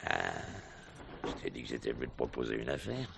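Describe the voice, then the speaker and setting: An actor playing a cool, sardonic schemer in a 1970s French radio crime play, smooth, mockingly familiar and menacing. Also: male voice, extremely low pitch, very animated, fairly quick, fairly bright tone, slight background noise, full character voice. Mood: smug. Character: cool, sardonic male schemer proposing a shady deal.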